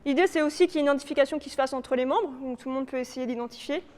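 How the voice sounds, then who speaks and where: A woman's voice: sits high, rapid-fire, darkish tone, big-room sound. A woman giving an informal, slide-based presentation as a project presenter, explaining in a hesitant, loosely improvised way. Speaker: presenter for a local authority biodiversity project. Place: reverberant indoor meeting room, live audience presentation.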